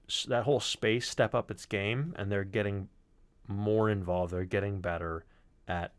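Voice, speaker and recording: A man with a fairly low voice chats casually as a sound designer. He is an animated storyteller who swears freely, and the sound is close and dry. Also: no echo.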